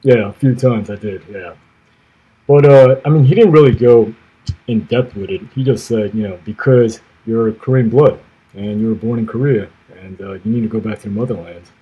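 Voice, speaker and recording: A man, answering interview questions in a measured way, pausing often on "uh" and letting phrases trail off quietly. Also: lowish voice, husky, muffled recording. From grew up in the United States. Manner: measured, many "uh" pauses, trailing off softly at phrase ends. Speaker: podcast guest, former navy officer.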